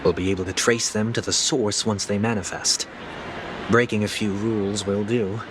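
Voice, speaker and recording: A man's voice: on the low side, fairly even intonation, moderate pace, background noise, lightly acted. An actor playing an earnest, composed young man in a fantasy video game, speaking formally and politely. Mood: calm, explanatory. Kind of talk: scripted video game voice acting.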